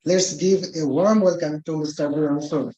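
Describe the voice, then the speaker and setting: An emcee reading a brisk, formal scripted introduction of the next speaker. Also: medium pitch, steady tone, dark tone, highs cut off. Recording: echoey hall.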